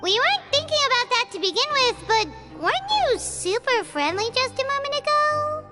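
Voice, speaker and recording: A tiny chirpy fairy companion in a fantasy game, her voice squeaky and very high. She bounces all over her register, bubbly and pushy. Bright game music plays loudly behind her. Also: big pitch swings, normal speed. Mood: coaxing. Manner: bubbly, pushy, speaks of herself in third person. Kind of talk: game voice acting.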